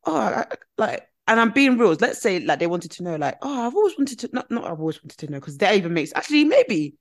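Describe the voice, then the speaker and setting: A woman's voice: mid-range voice, very animated, quick pace. A young woman in casual podcast chat, talking with lots of "like" fillers and acting out what people say. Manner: chatty, many "like" fillers, acts out quoted people.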